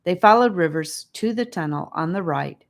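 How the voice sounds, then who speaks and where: Low-voiced woman narrating a children's audiobook. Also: expressive, normal speed, gravelly. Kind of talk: scripted storybook narration for children.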